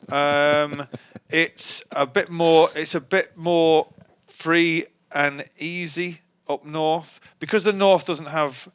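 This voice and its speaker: A man's voice: fairly high pitch, steady tone, slow pace, fairly bright tone, clean tone. A middle-aged man with a Manchester accent, a guest on a chat show, talking in a dry, wry way with many restarts. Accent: Mancunian. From Manchester, England. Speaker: guest musician. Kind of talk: casual interview chat.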